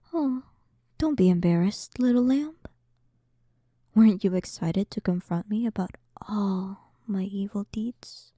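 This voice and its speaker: Woman playing a slow, smug, mocking demon queen. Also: mid-range voice, warm tone, no echo, studio quality. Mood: taunting, amused. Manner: slow, dominant, teasing, condescending, often dropping toward a whisper. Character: arrogant, sarcastic demon queen toying with a captive hero. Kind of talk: scripted roleplay audio drama.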